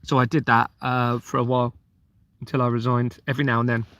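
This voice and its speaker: A man's voice: mid-range voice, level tone, fast talker, a bit bright. A man, hosting a casual livestream, reading out viewer comments and rambling earnestly while sometimes losing his train of thought. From Kent, southeast England. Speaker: livestream host, former police officer.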